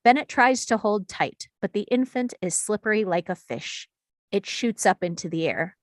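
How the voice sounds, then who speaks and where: A woman's voice: mid-range voice, expressive, moderate pace, no echo. A woman reading stage directions as scripted narration at a screenplay table read.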